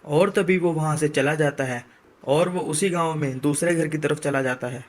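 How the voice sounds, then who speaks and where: A man with a slightly higher-than-usual voice reads as a story narrator. The delivery is scripted, dramatic storytelling. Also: normal speed.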